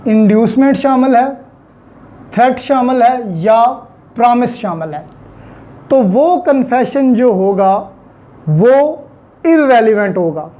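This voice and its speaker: Male law lecturer, Pakistani accent, high-pitched dark-mellow voice, didactic, repeats key points for students.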